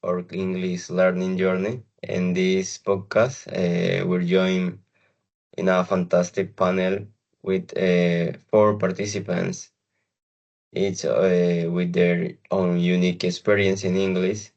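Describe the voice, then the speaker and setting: A man with a Colombian Spanish accent hosting a student podcast in hesitant, halting phrases. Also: deep voice, monotone, gravelly, darkish tone, highs cut off. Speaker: podcast host and language student.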